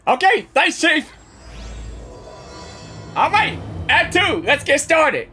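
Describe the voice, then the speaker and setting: A woman's voice: high-pitched, big pitch swings, slow pace, bright, forceful tone. A woman doing casual, informal reaction commentary as a gaming YouTuber, talking back to the game. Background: game music.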